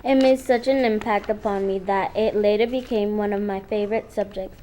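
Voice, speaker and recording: A woman's voice: mid-range voice, steady tone, normal speed, dark tone. A young teenage girl, a middle school student, reading dramatically from a text. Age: young teen, middle school student. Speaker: student reader.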